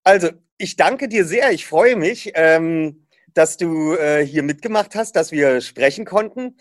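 A man interviewing a guest in a casual conversation, pausing often on fillers. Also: fairly high pitch, expressive, no echo, studio quality. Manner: hesitant, lots of fillers.

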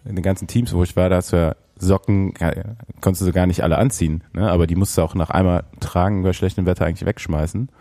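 A laid-back man with a very low, mellow voice, chatting on a podcast about his racing days. He keeps tacking a questioning "right?" onto his sentences. The sound is close and dry. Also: fairly even intonation, quick pace, hardly any pauses, no room sound. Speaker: former pro cyclist, podcast co-host. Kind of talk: casual podcast chat.

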